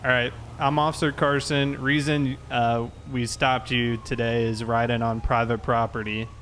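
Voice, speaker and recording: A man playing a calm, fair-minded police officer in improvised online roleplay, speaking politely and a little hesitantly. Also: medium pitch, moderate pace, natural voice. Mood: calm, procedural. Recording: faint background noise, game vehicle sounds.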